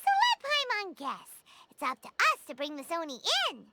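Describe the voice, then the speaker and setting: A woman voicing a small, childlike, perky fairy companion in a video game, eager and squeaky. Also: wildly swooping pitch, normal speed, heavy background noise, heavily acted. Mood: eager, confident. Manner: perky, eager, speaks of self in third person. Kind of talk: animated video game acting. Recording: loud music behind. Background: music.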